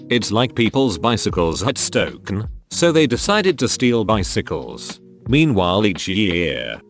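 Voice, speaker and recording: A man narrating forum posts aloud as a scripted read-through, with a steady, even pace. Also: on the low side. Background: faint background music.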